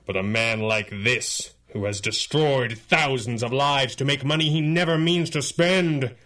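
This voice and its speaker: An actor playing an implacable masked hero with mind powers in a radio superhero drama, speaking gravely with deliberate menace. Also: male voice, medium pitch, a bit bright, natural voice. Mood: cold, commanding. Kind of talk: 1930s-set radio superhero drama acting.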